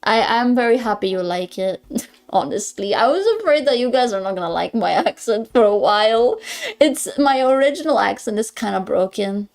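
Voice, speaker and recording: A woman's voice: fairly high pitch, animated, normal speed, vocal fry. A young woman from Greece with a Greek accent, chatting casually with her stream chat and often stumbling over her words. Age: young adult.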